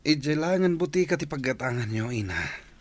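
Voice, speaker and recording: A man's voice: fairly high pitch, lively intonation, brisk, close to an everyday voice. A man playing an ailing, skeptical young adult son in an Ilocano radio drama. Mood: resistant. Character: ailing, doubtful grown son confined to his room. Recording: faint background noise.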